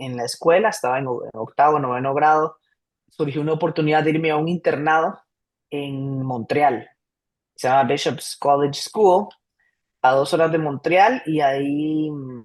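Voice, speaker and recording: A man in his thirties from Costa Rica, a comedian guest telling his life story in a casual, lively interview. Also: a little high. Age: 30s.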